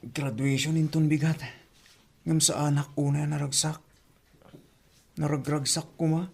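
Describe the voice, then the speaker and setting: An actor playing a dutiful young student and elder brother in a radio drama, earnest and subdued, with a creaky, mellow voice. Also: medium pitch, fairly even intonation, measured pace. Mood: wistful, subdued. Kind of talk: radio drama acting in Ilocano.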